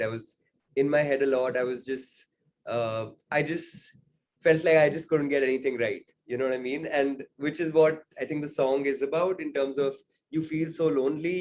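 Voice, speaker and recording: A young man, a singer-songwriter, answering interview questions in a reflective, casual way, often pausing with fillers. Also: medium pitch, darkish tone, slight background noise. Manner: reflective, many fillers like "like" and "I mean".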